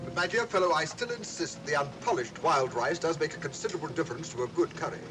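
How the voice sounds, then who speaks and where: An actor playing a genteel, pedantic English army major with a British accent and a pompous, chummy manner in an old mystery film. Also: male voice, on the high side, expressive, fast talker, over loud music, lightly acted. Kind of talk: 1940s mystery film acting.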